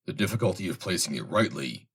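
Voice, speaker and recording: Low, husky man reading formally. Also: sing-song. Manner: measured, formal, deliberate phrasing. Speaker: reader, narrator. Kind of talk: scripted reading of a formal historical essay.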